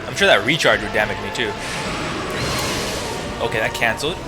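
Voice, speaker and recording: A man's voice: on the low side, lively intonation, brisk. A man doing casual, sweary commentary while gaming, exclaiming and muttering frustrated asides. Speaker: let's play gamer. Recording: loud game music behind voice. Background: loud video game music.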